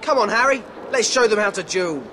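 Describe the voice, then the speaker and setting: A modest, earnest British schoolboy wizard in a video game. His voice is high and moves around readily in pitch. Heavy noise sits behind it. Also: expressive, fairly quick. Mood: determined. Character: earnest, modest teenage boy wizard hero. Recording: very noisy game audio background.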